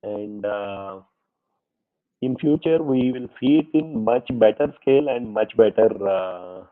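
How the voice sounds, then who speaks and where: An Indian man with a lowish voice presents a lecture and answers questions over thin, phone-like call audio. He sounds a bit congested from a cold and speaks hesitantly, full of fillers and polite "sir"s. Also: expressive, takes it slowly. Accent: Indian English. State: voice affected by fever and cold. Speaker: presenter at an online faculty workshop. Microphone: online meeting audio.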